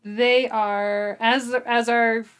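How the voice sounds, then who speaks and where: A woman's voice: a little high, flat delivery, very slow, clear, resonant tone. A woman in her thirties hosting a book discussion podcast in a casual, conversational way, often dropping in "like". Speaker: book club podcast host.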